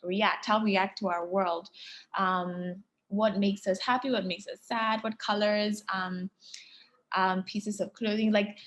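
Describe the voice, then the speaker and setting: A woman from Ghana in her thirties, a fashion stylist answering interview questions thoughtfully, with frequent fillers and soft laughs. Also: mid-range voice, little pitch movement, a little slow, highs cut off.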